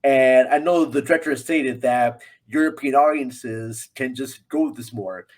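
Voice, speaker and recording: Man chatting about film, halting delivery. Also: medium pitch, a little mellow. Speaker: podcast guest film reviewer. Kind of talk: casual film discussion.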